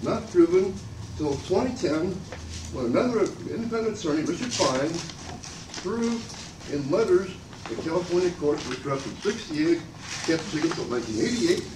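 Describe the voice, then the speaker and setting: An older man speaking loudly and abrasively in a rambling public comment at a meeting. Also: very animated, normal speed. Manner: loud and booming, abrasive, rambling and aggrieved. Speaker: member of the public giving comment. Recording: echoey room from far off, noisy.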